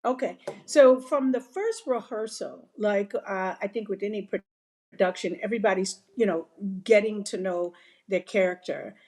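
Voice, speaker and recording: A woman cast member speaks as a guest over a video call. She talks hesitantly, with plenty of fillers, at a middle pitch. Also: normal speed, a little mellow.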